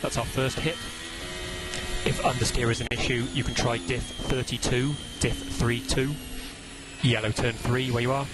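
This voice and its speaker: A man's voice: mid-range voice, level tone, normal speed, very noisy. A man working as a race engineer on team radio, giving clipped, repeated instructions and updates and urging his driver on.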